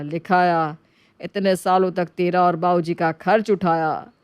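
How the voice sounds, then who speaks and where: A woman's voice: on the low side, a little mellow, clear, resonant tone, no room sound, lightly acted. A woman voicing a cold-hearted, scheming elder brother in a moral story, boastful and condescending.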